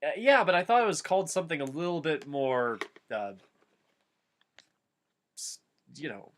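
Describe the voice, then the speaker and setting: A man's voice: on the high side, very animated, normal speed, a little hiss or hum. Man reading fan fiction aloud, casually. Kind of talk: dramatic reading with casual riffing commentary.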